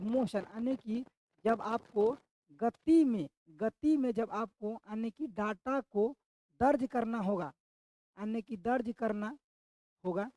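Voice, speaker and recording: A man's voice: extremely high pitch, mellow, no room sound, low-quality recording. A man with a sore throat teaches an online exam-prep class, repeating each question back to his students.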